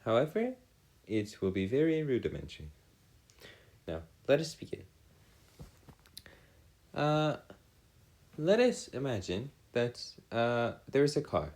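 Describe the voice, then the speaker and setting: Formal male tutor with swooping pitch. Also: sing-song, a little mellow. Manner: formal, wordy phrasing; halting, with frequent pauses and self-corrections. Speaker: math and physics tutor. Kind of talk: explanatory lesson, worked step by step.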